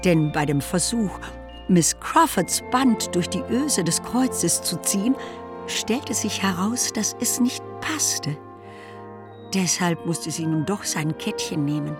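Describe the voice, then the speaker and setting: A woman narrating a literary radio play as an expressive storyteller. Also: on the low side, takes it slowly, over music.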